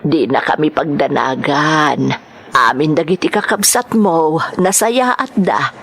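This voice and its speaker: A woman playing a gentle, sorrowful older mother in a radio drama, with a hushed, breathy, tender delivery. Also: low voice, measured pace, heavily acted. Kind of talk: sentimental radio drama acting.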